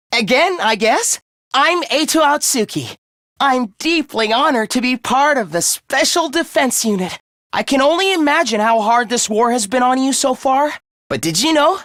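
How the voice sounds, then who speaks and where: A man's voice: extremely high pitch, fairly bright tone, no echo, studio quality, exaggerated voice. A man voicing a young male student in an anime game, sweetly idealistic yet secretly sinister, with a light, polite, theatrical delivery. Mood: cheerful, earnest.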